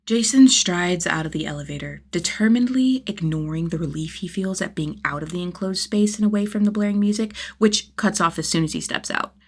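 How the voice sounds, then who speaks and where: Expressive woman reading a story. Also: on the low side, a little fast, hardly any pauses. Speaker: fan fiction podfic narrator. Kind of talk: expressive scripted story narration.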